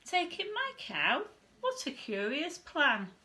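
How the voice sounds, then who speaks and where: Woman voicing a fretful, high-pitched little old lady. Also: wildly swooping pitch, takes it slowly, sharp tone, full character voice. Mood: puzzled. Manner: plaintive, dramatic exclaiming. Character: grumbling, exasperated little old lady from a storybook. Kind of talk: children's storybook character reading.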